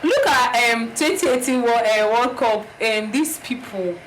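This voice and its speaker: A woman with a Nigerian accent, co-hosting a casual podcast chat in a lively, enthusiastic way. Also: fairly high pitch, noisy background. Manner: lively, enthusiastic, quick to agree. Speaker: podcast co-host.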